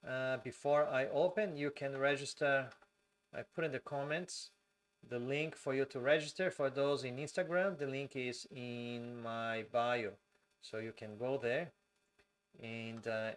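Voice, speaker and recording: Brief: Brazilian-accented man, mid-pitched, deliberate live-stream host, many fillers. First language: Portuguese. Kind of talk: live-stream talk reading viewer comments.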